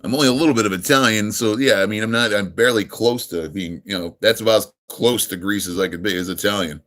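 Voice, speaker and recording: A middle-aged American man with a full radio-style voice, hosting a relaxed live stream. He greets viewers by name and wanders through his answers with plenty of ums and uhs, friendly and unhurried. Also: fairly low pitch. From United States, near New York. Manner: laid-back, friendly, rambling with many ums and uhs.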